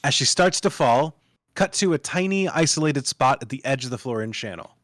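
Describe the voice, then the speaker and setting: A man's voice: medium pitch, animated, brisk, fairly bright tone, dry recording, studio quality. A man reading screenplay stage directions aloud as the narrator of a table read.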